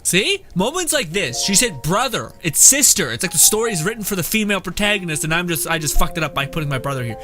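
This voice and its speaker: A man's voice: high voice, very animated, fast talker, hardly any pauses, bright, forceful tone, faint music behind. A man giving casual gameplay commentary as a content creator, rambling and dropping joking asides.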